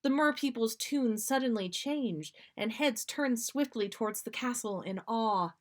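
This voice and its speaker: Slow-paced, higher-voiced woman storyteller. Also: lively intonation. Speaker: podcast host. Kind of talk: reading a fan fiction story aloud on a livestream.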